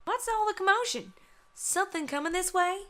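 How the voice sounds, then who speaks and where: A woman acts a plainspoken cartoon farm mare in a high voice. Her drawl is folksy American Southern, with an exasperated edge. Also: expressive, brisk, fairly bright tone. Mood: curious. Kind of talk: cartoon-style audio drama.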